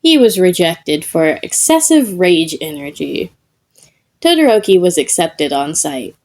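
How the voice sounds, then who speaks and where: A woman's voice: fairly low pitch, very animated, measured pace, darkish tone. A woman reading a scripted comedic story as narrator. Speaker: podfic narrator.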